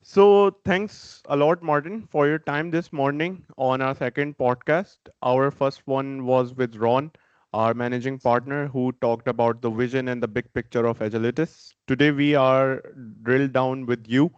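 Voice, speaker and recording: A man's voice: medium pitch, fairly even intonation, moderate pace, smooth, no echo, dull audio. A man hosting a company podcast in a friendly, upbeat way, with slightly unusual phrasing. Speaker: podcast host, company CMO.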